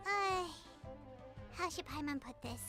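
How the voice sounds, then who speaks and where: Tiny squeaky chirpy sprite companion, very high and bouncy, game score underneath. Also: female voice, animated, a little slow. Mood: chirpy curious. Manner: talks about herself in third person. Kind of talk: video game voice acting. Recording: game audio with music behind.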